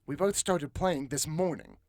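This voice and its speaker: A man voicing a feisty, protective young male gamer in a fantasy audiobook, blunt and excitable. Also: a little high, unhurried, no echo, studio quality, pitched above the performer's own voice. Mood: wry. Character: feisty young male elf-game player, protective boyfriend.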